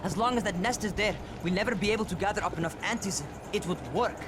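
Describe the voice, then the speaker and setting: A man's voice: sits high, fast talker, over music, close to an everyday voice. An actor playing a restless, frustrated young man, reckless and eager, in a video game. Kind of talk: naturalistic video game acting.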